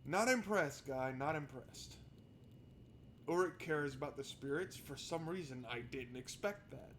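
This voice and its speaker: A man with a somewhat high voice plays through a story game, reading the narration aloud. He breaks off for sarcastic, exasperated commentary. There is some background noise in the recording. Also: expressive, a little slow, a bit bright. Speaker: video game streamer. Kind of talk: let's play commentary with read-aloud narration.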